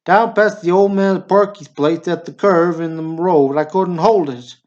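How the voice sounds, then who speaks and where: A man acts out an awkward, nervous small-town fellow in a radio drama. His voice sits high, and he sounds earnest, pleading and easily worked up. The recording is a little dull and lo-fi. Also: steady tone, a little slow, a little mellow. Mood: agitated confession. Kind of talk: stage-play acting in radio drama.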